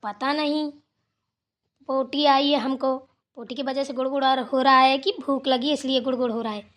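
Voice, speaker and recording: A woman's voice: sits high, fairly even intonation, normal speed, a bit bright. A woman voicing a grumbling but caring elderly village mother-in-law in a colloquial, fussing Hindi cartoon family drama. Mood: grumbling, hungry.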